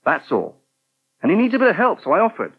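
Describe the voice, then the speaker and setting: A helpful, touchy young English restaurant worker in a vintage British radio soap. His voice is rough-edged and very lively in pitch, with brisk, plain delivery. The sound is narrow and thin, like an old broadcast tape. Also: exaggerated voice. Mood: irritated, defensive. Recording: thin, band-limited old radio recording.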